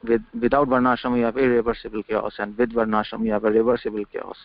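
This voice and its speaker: A man's voice: on the low side, very fast, vocal fry, no room sound. A man giving an informal scripture lecture over a conference call, repeating words and asking rhetorical questions. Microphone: conference call line. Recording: dull dry conference call audio.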